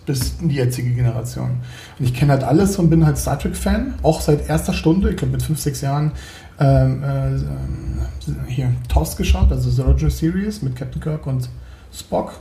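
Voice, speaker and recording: A man's voice: medium pitch, vocal fry, warm tone, roomy sound. A man in his forties from Berlin, chatting as an interview guest, hesitant with many fillers and restarts. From Berlin, Germany. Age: 40s. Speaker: podcast guest, 3D graphics artist. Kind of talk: casual interview chat.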